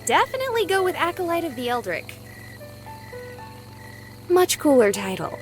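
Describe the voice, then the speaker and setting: A woman's voice: high-pitched, very animated, normal speed, soft background music. A woman playing a playful, self-doubting young woman in a visual novel game, hesitant and lightly teasing. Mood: thoughtful, teasing.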